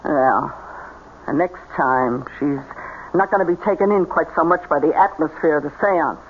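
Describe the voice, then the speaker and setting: A woman's voice: low-pitched, normal speed, dull audio, close to an everyday voice. An actress plays a bossy, scheming fake medium in an old radio drama. She sounds theatrical and calculating. The sound is thin and band-limited, with faint noise.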